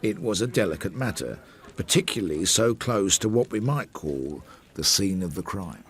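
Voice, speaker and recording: An actor playing a Victorian police sergeant who narrates his inquiry in a measured, wry, literary way in a radio drama. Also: low voice, expressive, fairly bright tone.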